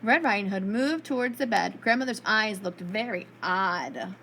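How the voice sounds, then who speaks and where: A woman reading a storybook aloud to preschool children in an expressive storyteller's style. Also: medium pitch, normal speed, a little hiss or hum.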